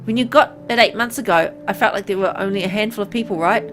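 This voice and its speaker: Caring, stubborn young woman, acted by a female reader, brisk and affectionate, visual novel, music underneath. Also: medium pitch, lightly acted. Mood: worried. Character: caring, stubborn young woman visiting her sick partner.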